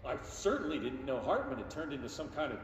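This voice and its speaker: A man's voice: mid-range voice, sing-song, fast talker, natural voice. A man playing an awkward, defensive office clerk and fanboy in a video game, rambling and whiny. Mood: nervous, defensive. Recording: distant, very echoey hall, faint noise.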